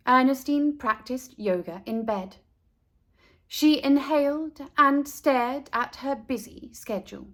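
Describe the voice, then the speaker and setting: A woman reading a picture book aloud to young listeners as a storyteller, deliberate and emphatic, speaking to them directly. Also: high-pitched, fairly bright tone. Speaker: read-aloud storyteller for children. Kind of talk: expressive picture book narration.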